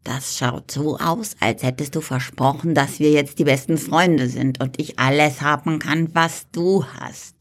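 A sassy lady frog with a dry, teasing drawl, put on by a man reading a storybook. She takes her time, pitched a little above his plain voice and slightly set back from the mic. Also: steady tone, a little slow, hardly any pauses, close to an everyday voice. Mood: cheeky. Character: cheeky sarcastic female frog, calm and dry.

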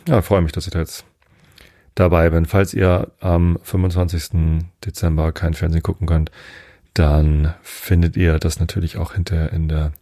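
A man from Germany, hosting a bedtime podcast in a casual, unhurried, meandering monologue, thinking aloud. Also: deep voice, creaky, dark tone, dry recording, clean studio sound. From northern Germany. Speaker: podcast host.

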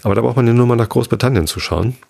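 A man from the Hamburg area, talking through his ideas in a reflective monologue, halting often with fillers and restarts. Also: medium pitch, creaky, a little mellow. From Northern Germany, Hamburg area. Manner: hesitant, many fillers and restarts.

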